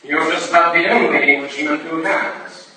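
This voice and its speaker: A man's voice: a little high, steady tone, normal speed, lightly acted. A man playing a boastful, drunken upper-class English rake in a staged period drama, with a breezy, confiding swagger. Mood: self-important. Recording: echoey room from far off, noisy.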